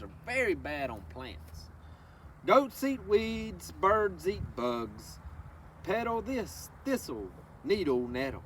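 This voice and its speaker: A man reading a picture book to children, speaking to them directly in a folksy, instructive way. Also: fairly high pitch. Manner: instructive, addresses listeners directly with folksy asides and questions. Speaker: presenter of a children's read-along. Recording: distant microphone with faint background noise.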